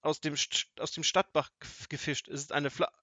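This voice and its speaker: A man's voice: on the high side, little pitch movement, moderate pace, sharp tone, no room sound. A man voicing a sly young male puppet hero in a storybook reading, who stammers in feigned fright. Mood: stammering. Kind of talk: storybook audiobook acting.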